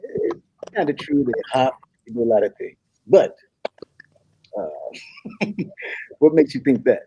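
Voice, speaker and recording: A man reading the part of an earnest young African prince who poses as a humble goat herder, speaking haltingly in a comedy table read. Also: mid-range voice, very animated, gravelly, mellow, husky, dull audio, heavily acted. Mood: hesitant, modest. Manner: hesitant, halting delivery with repeated words.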